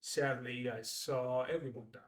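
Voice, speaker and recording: A man's voice: medium pitch, fairly even intonation, normal speed, distant mic, close to an everyday voice. An actor playing a charismatic, easily affronted mountaineer author in his late thirties, with an earnest, theatrical delivery at a script read. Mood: polite, guarded. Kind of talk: film script table read. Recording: roomy, off-mic table-read recording.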